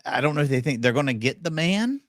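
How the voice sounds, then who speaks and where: A man's voice: on the high side, quick pace, no room sound, clean studio sound. A man co-hosting a court commentary live stream, talking casually and throwing out rhetorical questions. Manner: animated, exclaiming, rhetorical questions.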